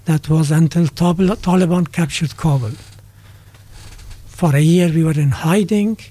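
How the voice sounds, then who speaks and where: Soft-toned older man from Afghanistan. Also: on the high side, measured pace. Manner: thoughtful, with frequent hesitations and restarts. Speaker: guest, retired politics lecturer. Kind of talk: radio interview answers.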